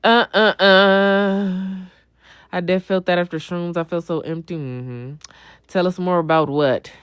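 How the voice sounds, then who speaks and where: A woman's voice: fairly low pitch, fairly even intonation, a little slow, clear, resonant tone, dry recording, clean studio sound. A young adult woman chatting casually on a livestream as she answers viewers, blunt, slangy and full of swearing, often repeating a phrase for emphasis. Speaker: livestream host.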